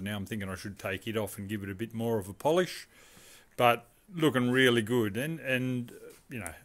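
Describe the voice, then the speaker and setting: A man, casually walking through his home garage workshop, rambling and asking viewers for advice. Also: mid-range voice. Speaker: car restoration vlogger.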